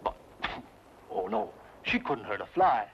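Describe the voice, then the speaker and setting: An actor playing a fussy, flustered, indignant English gentleman in an old-time radio drama, with a British accent and a prim, sputtering delivery. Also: fairly high pitch, big pitch swings, slow, creaky, heavily acted. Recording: dull, noisy old radio recording.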